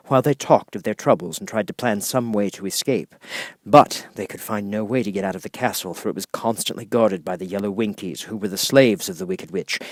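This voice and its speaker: A man reading scripted storybook narration as an audiobook narrator, in a measured, expressive storytelling manner. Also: lowish voice, dry recording.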